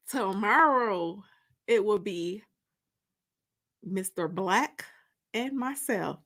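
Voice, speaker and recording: Female story-time host, high-pitched and forceful, casual and gossipy, talking straight to her viewers. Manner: gossipy, informal, repeats phrases for emphasis. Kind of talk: casual livestream chat.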